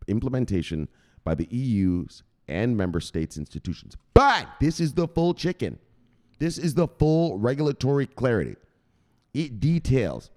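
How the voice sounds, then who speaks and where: A man's voice: medium pitch, a little mellow. A man hosting a crypto news channel, ranting through the headlines in a profane and emphatic way.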